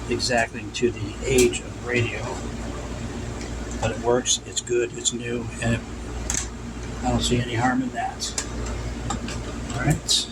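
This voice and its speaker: A man talks through a practical demonstration in an unscripted, instructional way, repeating his cautions. His voice sits in a very noisy indoor recording with a loud hum behind it. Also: mid-range voice, level tone, measured pace, pauses often. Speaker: vintage radio restorer demonstrating. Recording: loud hum and background noise.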